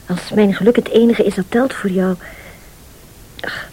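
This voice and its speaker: An actress playing a devoted, defiant wife in a radio play, earnest and emotional, often sinking to a breathy near-whisper. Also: female voice, mid-range voice, steady tone, a little slow, warm tone, a little hiss or hum, natural voice, low-quality recording. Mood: torn, pleading. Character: devoted, defiant wealthy wife caught in a plot.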